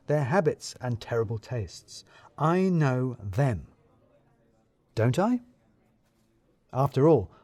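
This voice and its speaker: A man narrates a scripted story in the first person with dry, wry humour. His voice is mellow and mid-pitched, phrased with deliberation. The sound is close and dry. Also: dry recording.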